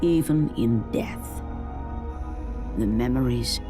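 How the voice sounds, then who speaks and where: A woman playing a solemn storyteller, narrating a tragic ancient tale in a video game with grave, measured delivery. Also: extremely low pitch. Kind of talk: dramatic scripted narration. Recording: game audio under loud music. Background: music.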